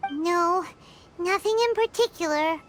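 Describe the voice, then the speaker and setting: A woman voicing a small, childlike, squeaky fairy companion with a cutesy, exaggerated manner in an anime-style video game. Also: unhurried, slight background noise. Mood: unsure. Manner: exaggerated, cutesy, refers to self by name.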